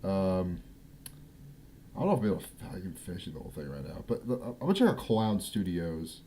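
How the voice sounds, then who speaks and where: A man's voice: deep voice, big pitch swings, fairly quick, dark tone, slight background noise. A man hosting a livestream, giving informal, exclamatory reaction commentary in a casual style. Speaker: livestream host.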